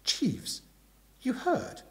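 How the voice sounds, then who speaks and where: A man voicing an excitable, dramatic young upper-class gentleman with exclamatory old-fashioned slang. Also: extremely slow. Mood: incredulous.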